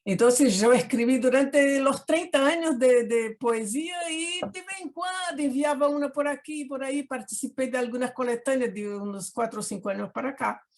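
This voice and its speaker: Older woman, Brazilian Portuguese accent, fairly high voice, expressive, restarts often, video call. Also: moderate pace, hardly any pauses. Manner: expressive, tag questions, frequent restarts. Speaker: guest artist. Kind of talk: casual interview chat.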